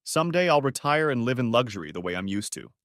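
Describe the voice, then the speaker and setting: A man's voice: mid-range voice, very animated, quick pace, fairly bright tone, no room sound, clean studio sound. A man voicing a young woman assistant who talks frankly about her job. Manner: frank, matter-of-fact.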